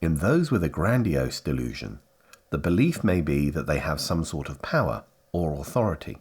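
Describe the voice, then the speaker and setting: A man reading scripted instructional narration for a training film in a measured, carefully phrased way. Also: extremely low pitch, big pitch swings, darkish tone, breathy edge.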